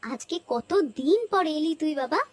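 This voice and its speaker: A woman's voice: high-pitched, animated, normal speed, no room sound. A woman voicing an affectionate, hospitable village aunt in a cartoon, coaxing and teasing as she fusses. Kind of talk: Bengali cartoon dialogue.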